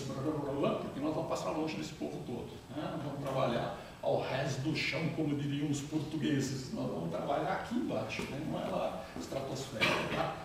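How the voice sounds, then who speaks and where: A man in his fifties leads a lively lecture with a fairly high voice, asking his listeners questions. He is caught from far across a large lounge. The room rings with echo over steady noise. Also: creaky. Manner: animated, questions the audience directly. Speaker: guest speaker. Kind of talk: interactive lecture. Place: large brick-walled lounge with an audience. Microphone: far camera, no mic. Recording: echoey room from far off, noisy.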